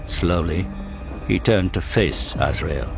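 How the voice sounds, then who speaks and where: A man's voice: low-pitched, takes it slowly, vocal fry. A man giving dramatic scripted narration for an audio drama, with music behind his voice.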